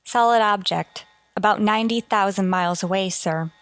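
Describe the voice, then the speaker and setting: A woman's voice: medium pitch, normal speed, fairly bright tone, no echo, natural voice. Woman acting as an earnest starship officer, dry sound. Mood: alarmed. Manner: formal, earnest reporting. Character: dutiful, level-headed female starship officer. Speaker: actor. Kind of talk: golden age sci-fi radio drama acting.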